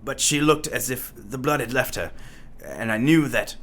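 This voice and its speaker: A man playing a tormented madman in a radio play, delivering a halting, dramatic confession. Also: medium pitch, a bit bright, a little hiss or hum, close to an everyday voice. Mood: anguished. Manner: haunted, dramatic, halting monologue.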